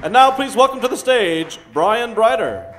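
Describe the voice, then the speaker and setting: High-voiced male announcer over organ music. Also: animated, normal speed. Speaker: show announcer. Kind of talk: grand old-time radio show introduction.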